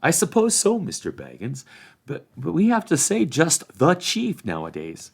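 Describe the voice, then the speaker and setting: A man's voice: on the high side, wildly swooping pitch, moderate pace, gravelly, husky, pitched above the performer's own voice, heavily acted. A man voicing an old, deferential hobbit gatekeeper with folksy rural speech. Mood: apologetic. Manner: folksy rural grammar, apologetic.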